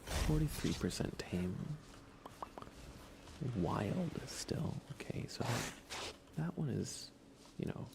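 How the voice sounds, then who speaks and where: A man streaming ASMR in a soft, often whispery voice, chatting in an unhurried, low-key way with pauses. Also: vocal fry, noisy background. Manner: hushed, unhurried, relaxed delivery with pauses. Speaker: ASMR livestreamer.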